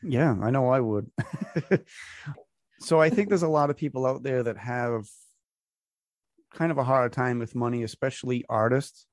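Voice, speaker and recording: A man hosting a podcast, raspy while getting over a cold, speaking in a relaxed, upbeat way. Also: mid-range voice, normal speed, pauses often, no echo, studio quality. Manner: relaxed, upbeat, loose with fillers. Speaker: podcast host. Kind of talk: casual podcast interview.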